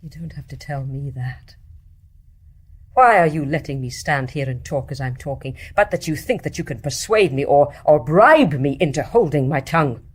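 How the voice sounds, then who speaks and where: An actress playing an embittered, devoted nurse in a radio play, with fervent, scornful, emphatic delivery. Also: female voice, deep voice, normal speed, breathy edge. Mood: cold accusing. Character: embittered, devoutly loving nurse, accusing and anguished. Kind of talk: intense stage-play dramatic acting.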